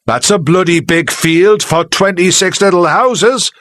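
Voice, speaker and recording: Big loud mocking village mechanic, taunting, raised pitch, animated, as a narrator puts him on. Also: male voice, unhurried, dry recording. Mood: sneering, taunting. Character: big sneering male village mechanic, showing off.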